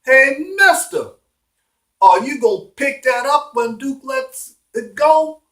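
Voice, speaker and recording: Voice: mid-range voice, animated, unhurried, a bit bright, voice pushed up. A storyteller playing an irritable homeowner, a man who talks in a blunt, emphatic, indignant way. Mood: firm, annoyed. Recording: roomy, echoing room sound.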